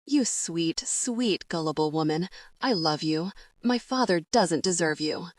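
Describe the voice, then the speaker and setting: Woman reading young male mob boss, blunt, swearing, lowish, dry. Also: lively intonation, measured pace, no room sound. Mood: tender. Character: hot-tempered young male mob heir, commanding. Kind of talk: audiobook character reading.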